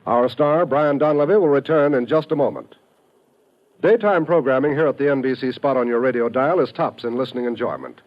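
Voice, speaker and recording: A man's voice: medium pitch, a little fast. A man with an American accent reading scripted promos and credits as a 1950s radio announcer. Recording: dull old radio broadcast recording. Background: faint music.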